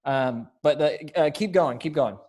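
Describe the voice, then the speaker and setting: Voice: medium pitch, unhurried, darkish tone. A readers theater director speaking casually while giving notes to performers in an online rehearsal. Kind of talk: casual directing over video call, stage directions.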